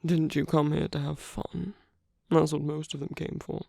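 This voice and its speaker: A performer playing a suave, flirtatious villain at a royal masquerade in an ASMR audio roleplay, speaking softly and breathily with teasing, lingering pauses, close to the microphone. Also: mid-range voice, flat delivery, no echo. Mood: sly, coaxing. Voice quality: breathy, soft, often near whisper. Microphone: close dry microphone.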